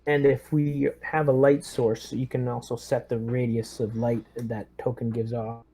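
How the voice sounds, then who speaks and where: Man, dark mellow voice, medium pitch, casual game player, explanatory. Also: little pitch movement, a little slow, husky. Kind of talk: casual chat, explaining and organizing.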